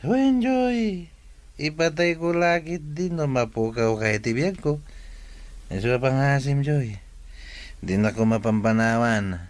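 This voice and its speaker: Slow-talking drunk young boyfriend played by a man. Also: medium pitch, natural voice. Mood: drunk, wheedling. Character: drunk young boyfriend, brash, wheedling and jealous. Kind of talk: radio drama acting.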